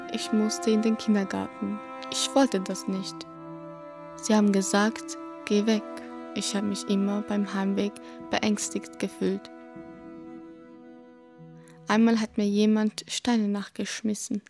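Slow, even-voiced woman over music. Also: mid-range voice. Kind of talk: personal recollection. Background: instrumental music.

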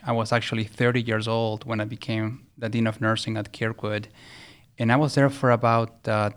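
A man from Chile in his thirties, telling his career story in a measured, earnest way as a podcast guest. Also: fairly low pitch, smooth. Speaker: guest, nursing regulator. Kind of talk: podcast interview.

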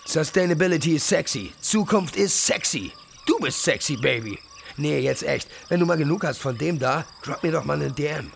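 A man's voice: a little high, animated, normal speed, gravelly, slight background noise. A man playing a slick, self-promoting influencer entrepreneur in a satirical audio drama, bragging and flirty, dropping English buzzwords. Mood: sleazy, flirty. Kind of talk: satirical podcast radio drama.

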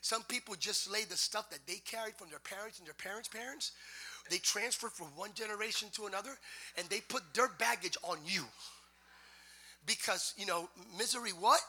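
A man preaching in a church, his voice sitting very high for a man. The tone is strikingly bright and cutting. He shifts from soft explaining to loud, half-sung preaching. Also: normal speed. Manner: builds from quiet talk to loud sung preaching. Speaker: pastor. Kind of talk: sermon. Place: church sanctuary.

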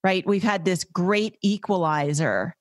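A woman's voice: lowish voice, measured pace, no echo, clean studio sound. A woman hosting a podcast interview in an upbeat, effusive, chatty way. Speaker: podcast host. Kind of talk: casual interview chat.

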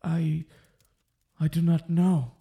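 A slow, hesitant young sheriff. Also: male voice, a little high, level tone, dark tone. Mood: hesitant, deferential. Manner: hesitant, deferential. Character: timid, obedient young Puritan sheriff, a dim nephew. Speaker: actor in an audio drama. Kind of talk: full-cast audio drama acting.

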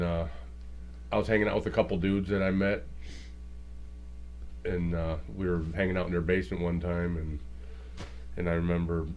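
A man's voice: low voice, darkish tone, a little hiss or hum. A man in his fifties telling long rambling stories in casual chat, swearing often. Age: 50s. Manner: rambling storytelling, heavy swearing. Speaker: guest.

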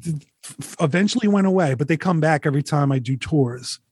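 A man in his forties, a touring musician, telling candid road stories in a relaxed, rambling way, swearing freely. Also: fairly high pitch, fairly quick, breathy edge, no echo, clean studio sound. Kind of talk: casual interview, candid storytelling.

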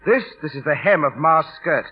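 A man plays a cocky, kindhearted London street performer. His voice is fairly high and swings up and down with wry, theatrical flair. It sounds like a muffled, narrow-band radio play from the 1930s or 40s. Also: lively intonation, moderate pace, a little hiss or hum, lightly acted. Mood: breezy, bantering. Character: cocky, teasing, kindhearted working-class London busker. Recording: muffled old radio broadcast recording.

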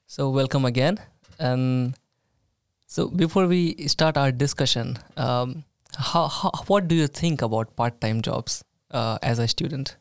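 A man hosting a casual student podcast interview, friendly and often pausing on "uh" fillers. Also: medium pitch, dry recording, studio quality. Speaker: podcast host.

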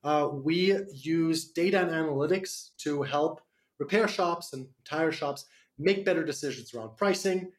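A man's voice: on the high side, unhurried. A man from Germany with a slight German accent, a startup CEO answering interview questions on radio, hesitant with many fillers and restarts.

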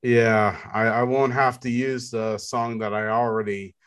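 A man with a deep baritone, co-hosting a podcast in slow, rambling casual chat full of fillers and restarts. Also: flat delivery. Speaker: podcast co-host.

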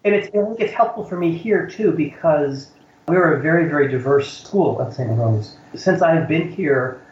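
A man's voice: a little high, animated, moderate pace. Middle-aged American man, reflective guest, restarts a lot while he thinks; echoey, slightly muffled sound. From United States. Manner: thoughtful, repeats words while searching for phrasing. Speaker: college instructor, interview guest. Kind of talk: reflective interview conversation. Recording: roomy, slightly dull recording.

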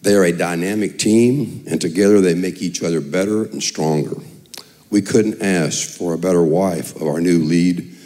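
A man with a raspy voice, speaking earnestly and from the heart as elder chairman addressing a church congregation. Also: low voice, normal speed, dark tone. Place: church sanctuary.

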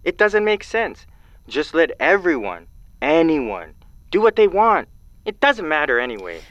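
A man's voice: fairly high pitch, very animated, measured pace, a bit bright, dry recording, dull audio. A flamboyant, swooping male amateur poet. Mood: indignant. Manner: theatrical, dramatic, slangy. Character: flamboyant free-thinking amateur poet caller, aggrieved male.

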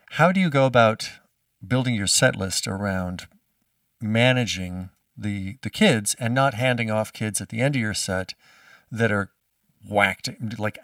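Male interviewer with a lower voice and a rambling manner, recorded dry and a bit off the mic. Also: a bit bright, dry recording, distant mic. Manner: rambling questions with many restarts. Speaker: podcast host interviewer. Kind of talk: casual interview questions.

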